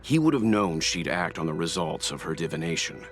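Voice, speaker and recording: A man voicing a stoic, reserved young warrior in an anime game, speaking in a measured, serious manner. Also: low voice, very animated, over music. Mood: grave. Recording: faint ambient noise behind.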